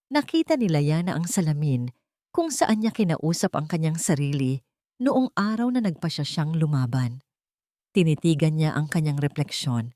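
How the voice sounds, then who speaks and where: Female story narrator, lowish register, solemn measured phrasing, dry studio-like sound. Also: dark tone, no room sound. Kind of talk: scripted dramatic story narration.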